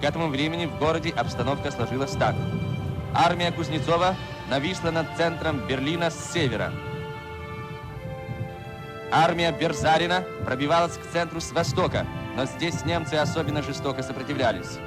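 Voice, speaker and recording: A man narrates an archival wartime newsreel in a solemn, declamatory style. His voice sits on the higher side for a male. Very loud music runs behind the narration. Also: brisk.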